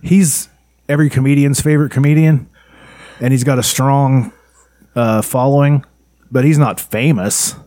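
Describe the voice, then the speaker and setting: A man's voice: medium pitch, fairly even intonation, moderate pace, pauses often, warm tone, no echo, studio quality. Chuckling man on a casual podcast. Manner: laughs often, chuckling through jokes. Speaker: podcast co-host.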